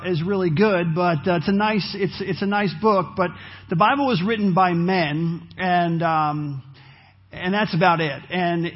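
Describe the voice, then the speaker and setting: Husky-voiced pastor losing his voice. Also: sits high, muffled recording. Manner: earnest, repeats and restarts phrases. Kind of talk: sermon.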